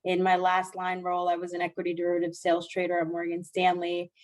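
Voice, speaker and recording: Woman, 40s, lowish voice, earnest podcast guest on a remote call, lots of restarts. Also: completely flat delivery, fast. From Dominican Republic. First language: Spanish. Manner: warm, earnest, frequent restarts and soft laughs. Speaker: guest, diversity executive. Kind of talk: podcast interview.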